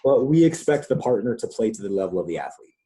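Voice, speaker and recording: A man with a husky voice leading a coaches' conference call, explaining guidelines in an informal, conversational way. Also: lowish voice, very animated, fast talker, mellow. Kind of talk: informal instructional presentation with questions.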